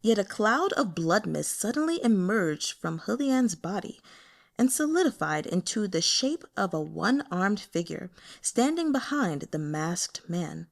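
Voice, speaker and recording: A woman's voice: mid-range voice, big pitch swings, a little slow, gravelly. A woman reading a fantasy novel aloud as scripted audiobook narration. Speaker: audiobook reader.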